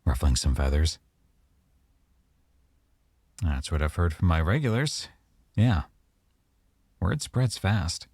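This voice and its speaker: A man playing a teasing, coy young mafia boyfriend with veiled menace, in an unhurried, intimate ASMR roleplay. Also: a bass voice, dark tone, no echo, full character voice. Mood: casual, teasing, then teasing, probing.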